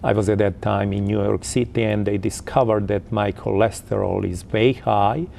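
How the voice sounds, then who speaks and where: A man in his fifties from Croatia with a Croatian accent, answering interview questions in a halting way with many fillers. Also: lowish voice, steady tone, creaky, dark tone, a little hiss or hum. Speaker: interview guest.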